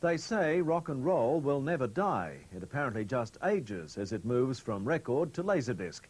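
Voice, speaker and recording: An Australian news anchor, a man, reads his script with lively intonation. His voice is medium in pitch, with faint music behind it. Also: moderate pace. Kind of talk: scripted newsreading.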